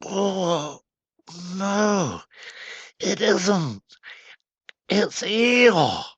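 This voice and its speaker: A nervous little pig squeaks and flutters in a high voice, as a man puts him on. The sound is close and dry. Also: big pitch swings, measured pace, fairly bright tone, no room sound, exaggerated voice.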